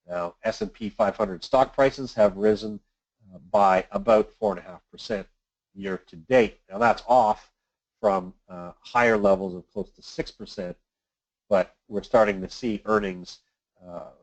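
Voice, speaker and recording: A man presenting a semi-scripted financial briefing as an analyst, explaining things in a measured, matter-of-fact way. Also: on the low side, vocal fry, poor audio. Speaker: financial analyst hosting a weekly market briefing. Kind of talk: semi-scripted market commentary.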